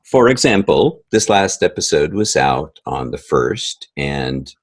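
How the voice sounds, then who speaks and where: Low-voiced man explaining his creative process. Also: big pitch swings, slow pace, breathy edge, dry recording, clean studio sound. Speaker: composer and sound editor of an audio drama. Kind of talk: interview, conversational explaining.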